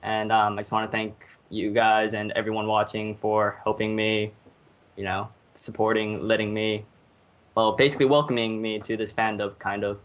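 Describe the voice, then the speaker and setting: A man's voice: fairly low pitch, little pitch movement, normal speed. A man speaking casually and modestly as an amateur voice actor, hesitant, on a lo-fi mic. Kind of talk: casual thanks and reflection. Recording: dull lo-fi voice-chat mic.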